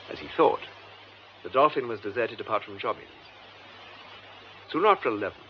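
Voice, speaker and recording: Composed English detective superintendent, radio drama. Formal, methodical, varied intonation, slightly noisy recording. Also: male voice, medium pitch. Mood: measured, explanatory. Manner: measured, formal, precise delivery. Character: composed, methodical male police superintendent explaining a case. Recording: muffled lo-fi radio drama, faint noise.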